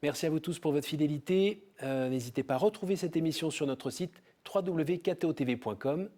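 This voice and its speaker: A man hosting a TV studio interview, asking short, calm questions and closing the show. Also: on the high side, brisk. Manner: calm, brief prompts. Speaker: host. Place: TV studio set.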